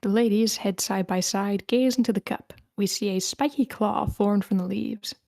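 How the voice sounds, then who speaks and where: A woman's voice: medium pitch, fairly even intonation, moderate pace, gravelly, a little mellow, no room sound, clean studio sound. A woman reading screenplay scene directions aloud as the narrator of a table read.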